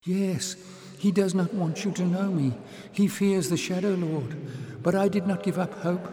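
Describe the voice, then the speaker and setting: A man voicing a timid young slave girl with a soft, breathy, sighing voice. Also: lowish voice, measured pace, reverberant, long reverb tail, background noise, voice pushed up, natural voice. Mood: eager rushing.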